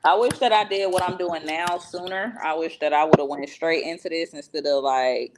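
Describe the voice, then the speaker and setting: A woman's voice: deep voice, background noise. A woman in her thirties in a casual podcast chat, teasing at times and reflective. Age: 30s.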